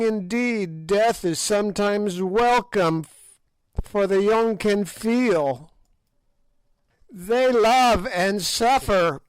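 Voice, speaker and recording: A man's voice: clear, resonant tone, no room sound, poor audio. A man voicing an ancient, evil witch woman who shrieks and cackles in old-fashioned speech. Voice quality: shrill, cackling.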